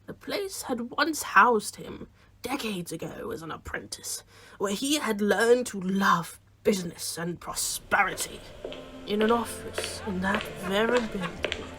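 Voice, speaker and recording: A woman's voice: mid-range voice, measured pace, sharp tone, a little hiss or hum, poor audio. A woman narrating a radio play in a storybook style.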